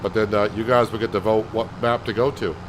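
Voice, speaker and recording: Calm low-voiced man streaming a farm game. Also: noisy background. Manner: calm, soothing, unhurried. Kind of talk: casual gameplay commentary.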